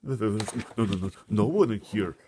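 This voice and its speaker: A man's voice: on the low side, expressive, moderate pace, dark tone, voice pushed up. A man voicing a kindly, anxious giant in a storybook, flustered and stammering in quirky broken grammar. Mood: nervous, stammering. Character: nervous, kindly male giant, anxious and protective. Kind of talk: storybook read-aloud character voice.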